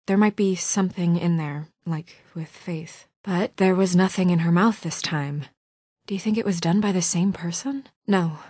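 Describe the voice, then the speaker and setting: A guarded, determined young fairy-tale woman in a naturalistic video game drama. Her voice sits fairly low, with an earnest, businesslike firmness. The sound is close and dry, with no room on it. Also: darkish tone, slightly rough, dry recording. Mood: grave, businesslike. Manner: earnest, measured, firm, businesslike.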